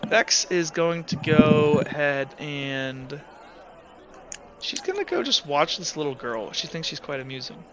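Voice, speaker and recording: Bright-voiced man running a tabletop game. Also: fairly high pitch, sing-song. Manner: rambling, halting with many fillers. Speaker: game master. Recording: dull lo-fi mic.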